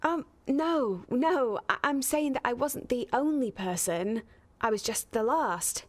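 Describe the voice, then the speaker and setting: An actress playing a sarcastic, quick-witted young woman in a radio soap. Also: a little high, animated. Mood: defensive, sarcastic.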